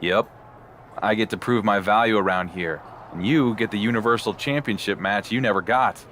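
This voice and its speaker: A man acting as a determined wrestling champion in a game cutscene. He talks conversationally in a low, dryly sarcastic voice, with faint noise behind. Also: moderate pace, a bit bright, close to an everyday voice. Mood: dry, scheming. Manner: dry, wry. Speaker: wrestler character.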